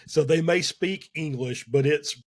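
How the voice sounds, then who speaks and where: A man with a Southern US accent, a guest in a casual podcast interview, talking in halting phrases with many fillers and restarts. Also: on the high side. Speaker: guest, sim racing team co-owner.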